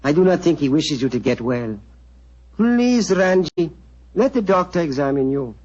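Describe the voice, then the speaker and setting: An actor playing a worried, courteous Indian prince, formal and earnest, in a muffled, noisy 1940s radio drama. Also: male voice, a little high, big pitch swings, darkish tone. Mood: pleading, worried. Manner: formal, earnest, deliberate phrasing.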